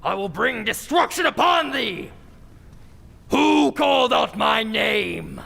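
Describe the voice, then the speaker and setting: An actor playing the vengeful ghost of an old lord in a radio drama, hurling archaic threats in a raspy, strained voice. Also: male voice, very high-pitched, very animated, slow, bright, forceful tone, shouting, full character voice. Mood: menacing fury. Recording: long cavernous reverb.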